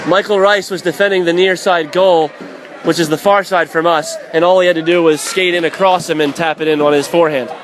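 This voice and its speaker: A man calling live ice hockey play-by-play for radio in an excitable, vivid, dramatic manner. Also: a little high, a little fast. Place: indoor ice rink broadcast position. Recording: loud crowd and rink noise behind. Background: hockey crowd and rink ambience.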